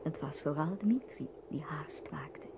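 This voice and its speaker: A woman's voice: low voice, measured pace, in a whisper. A woman narrating a radio drama as a scripted storyteller. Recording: dull, noisy old radio recording.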